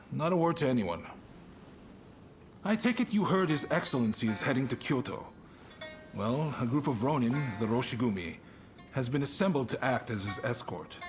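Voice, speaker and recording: An actor playing a shrewd Shogunate official in a video game, speaking in a casual, confiding way. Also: male voice, medium pitch. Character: shrewd Shogunate official recruiting trusted agents. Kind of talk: video game cutscene acting.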